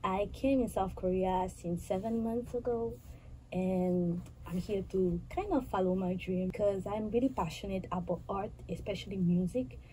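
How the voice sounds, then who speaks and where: A woman in her twenties from Haiti, a guest answering interview questions in an earnest, halting way, often restarting her phrases. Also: mid-range voice, dark tone, a little hiss or hum, poor audio. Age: 20s.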